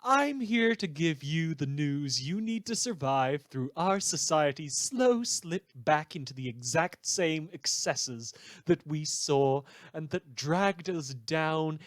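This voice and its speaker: A theatrical man acting as a radio newsman delivers satirical monologues in a fairly high male voice. He is sardonic and bitter, stretching emphatic words for effect. The sound is very dry, as in a scripted audio drama. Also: no echo. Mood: grandiose, sardonic. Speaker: radio news show host.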